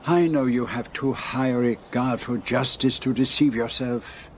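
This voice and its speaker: A man plays a courteous Belgian sleuth with a French-tinged accent. He speaks precisely and theatrically at a medium pitch, in radio drama style with some ambient noise behind him. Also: steady tone, measured pace, close to an everyday voice. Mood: earnest, persuasive. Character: courteous, precise Belgian detective, shrewd and persuasive.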